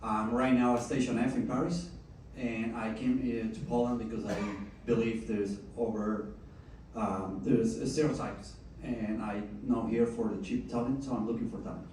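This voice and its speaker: A man from Colombia introducing himself in a quick, direct way. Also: medium pitch, flat delivery, a little mellow. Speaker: audience member, entrepreneur. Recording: echoey room from far off, faint noise.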